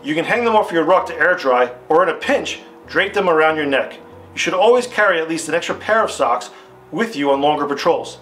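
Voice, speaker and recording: Man playing a higher-pitched American army captain instructor. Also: expressive, a little fast, fairly bright tone. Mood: instructive, assured. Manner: formal, didactic, stilted. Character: upbeat, authoritative male army captain instructing troopers. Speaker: instructor in a military training film. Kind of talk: scripted retro instructional film delivery. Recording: music under the voice. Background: background guitar music.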